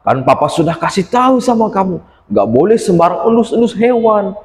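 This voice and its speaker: A man voicing a calm animal father who gently chides his child. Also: high voice, brisk, voice pushed up. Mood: chiding. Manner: relaxed, mildly chiding. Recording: echoey room with long reverb.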